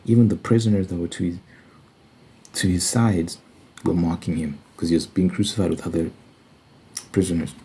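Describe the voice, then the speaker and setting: A man's voice: low voice, warm tone, slight background noise, rough recording. A man giving an informal, earnest Bible study monologue in an ASMR style, repeating phrases for emphasis.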